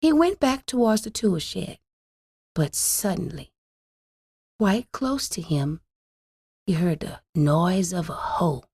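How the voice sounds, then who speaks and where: A woman narrates a children's storybook with very lively, swooping intonation. She takes her time, phrasing each part deliberately and dramatically for young listeners. Her voice has a slightly husky, breathy edge. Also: lowish voice, no room sound. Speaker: storyteller reading a children's book aloud. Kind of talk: expressive scripted storybook narration.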